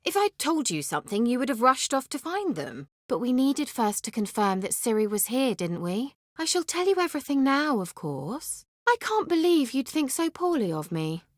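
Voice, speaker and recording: An actress playing a self-assured, coy and haughty young sorceress in a video game, with articulate, teasing, theatrical delivery. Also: female voice, sits high, big pitch swings, moderate pace, few pauses, no room sound, studio quality. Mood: defensive, arch. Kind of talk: video game character acting.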